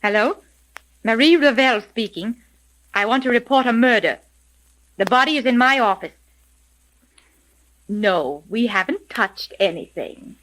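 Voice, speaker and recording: An actress playing a composed, determined private detective boss in a 1930s radio mystery, speaking with poised, precise firmness. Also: female voice, on the high side, animated, unhurried, long pauses, fairly bright tone, poor audio. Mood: brisk, firm.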